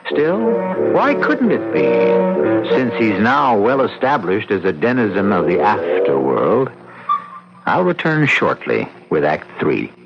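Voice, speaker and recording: A man hosting a radio drama with theatrical scripted narration, in an ominous, deliberate storyteller's manner. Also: lowish voice, huge pitch swings, vocal fry. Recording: muffled old radio recording. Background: loud dramatic music.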